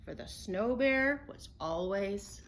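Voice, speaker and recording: A woman storyteller reading a children's picture book aloud in an expressive, unhurried way. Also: medium pitch, slight background noise.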